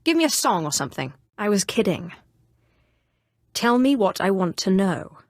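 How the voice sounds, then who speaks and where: An actress voicing a cold, sardonic female Sith sorceress in a video game, with an imperious, crisply controlled delivery. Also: mid-range voice, sing-song, moderate pace, no echo, studio quality, natural voice. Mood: sardonic, impatient. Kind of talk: scripted video game character dialogue.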